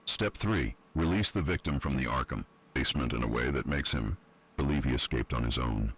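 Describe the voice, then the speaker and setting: A man playing a cold, sadistic villain who reads out instructions deliberately in an analog horror video. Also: a bit bright, heavily acted. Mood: cold, menacing. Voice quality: very deep, husky. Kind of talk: scripted step-by-step horror narration.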